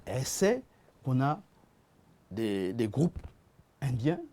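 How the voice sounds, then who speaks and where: A man with a Gabonese French accent, a musician and producer speaking as a guest in a TV studio interview, halting and often repeating his words. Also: medium pitch, very animated, dark tone.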